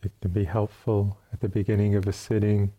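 A man leading a guided meditation as a teacher, speaking in short, unhurried phrases with long pauses between them. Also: lowish voice, level tone, dark tone, highs cut off.

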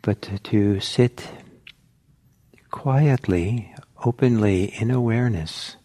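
A man leads a guided meditation as a teacher. His voice is low and mellow. He speaks gently and reflectively, halting often and leaving long pauses, at a very slow pace.

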